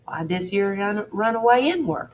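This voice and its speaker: A woman voicing a frightened, superstitious older farmhand who pleads in a high, breathless voice. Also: expressive, unhurried, a little mellow, a little hiss or hum, close to an everyday voice. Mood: startled.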